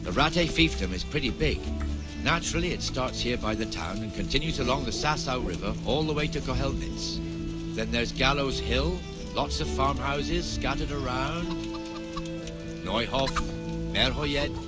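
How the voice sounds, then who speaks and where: An actor playing a gruff, talkative older town guardsman in a video game. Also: medium pitch, normal speed, natural voice. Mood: chatty. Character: gruff, chatty older town guardsman showing newcomer around. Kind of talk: video game dialogue acting. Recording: loud music under the voice. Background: loud music.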